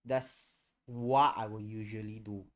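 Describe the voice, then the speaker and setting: A man's voice: lowish voice, level tone, unhurried. A man chatting casually on a livestream, rambling and candid, swearing freely as he goes. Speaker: streamer and VTuber.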